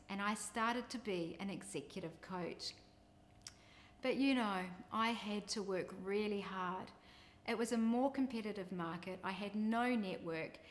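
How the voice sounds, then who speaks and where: A woman's voice: mid-range voice, a bit bright. Middle-aged kiwi woman, nz accent, upbeat coach telling her story. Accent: New Zealand. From New Zealand. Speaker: business coach. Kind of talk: scripted personal introduction.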